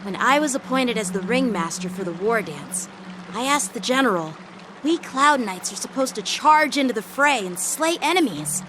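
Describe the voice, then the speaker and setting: A woman's voice: a little high, animated, moderate pace, background noise, lightly acted. A woman voicing an earnest, eager teenage boy, a proud young knight in a video game. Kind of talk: English video game voice acting. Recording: music behind the voice. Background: game soundtrack music.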